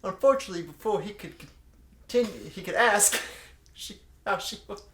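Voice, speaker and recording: Young man, higher-pitched, casual comic reading, stumbling delivery, echoey room. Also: expressive, moderate pace, crowd noise. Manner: stumbling reader. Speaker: co-reader, channel host.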